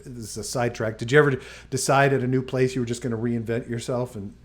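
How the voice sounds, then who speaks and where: A man hosting a podcast, asking quick questions in a casual, encouraging way. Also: medium pitch, fairly even intonation. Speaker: podcast host. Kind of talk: casual interview chat.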